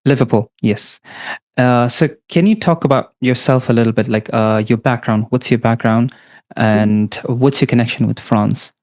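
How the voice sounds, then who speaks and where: A man hosting an interview podcast, asking questions haltingly with many fillers. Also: medium pitch, a little mellow. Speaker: podcast host.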